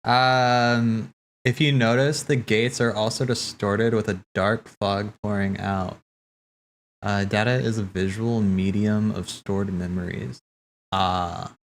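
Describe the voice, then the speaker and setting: A man giving casual game commentary as a streamer, thinking aloud in a halting, stammering way. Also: on the low side, fairly even intonation. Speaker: streamer hosting a cutscene watch party. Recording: clear background noise.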